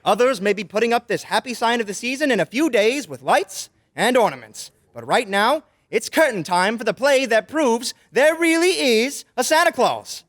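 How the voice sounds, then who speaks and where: A man's voice: extremely high pitch, animated, normal speed, few pauses, a bit bright, no echo. A man narrating and hosting in the style of an old-time radio theater announcer, with music behind him. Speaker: radio play host and narrator. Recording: music under the voice.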